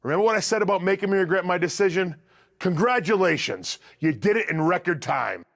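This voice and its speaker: A man's voice: high-pitched, animated, brisk. An actor playing a bitter male wrestling talent scout, sarcastic and scolding, in video game cutscene dialogue. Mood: scornful.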